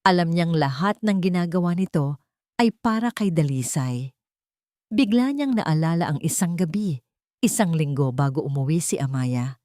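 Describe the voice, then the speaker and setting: A woman's voice: low-pitched, animated, normal speed, warm tone, dry recording, studio quality. A woman reading scripted dramatic narration as the storyteller of an emotional fiction tale.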